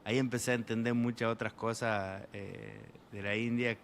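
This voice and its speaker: A young man from Argentina with an Argentine Spanish accent, an interview guest telling travel stories in a relaxed, rambling way full of fillers. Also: lowish voice, moderate pace, vocal fry, a little hiss or hum. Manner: rambling, many fillers, voices quoted people. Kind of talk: casual storytelling in an interview.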